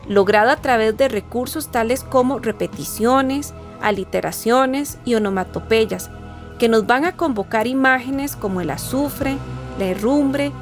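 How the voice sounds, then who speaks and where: A woman speaks in a fairly high voice over background music. She reads scripted explanatory narration in a formal, didactic way, as the presenter of an educational programme. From Costa Rica.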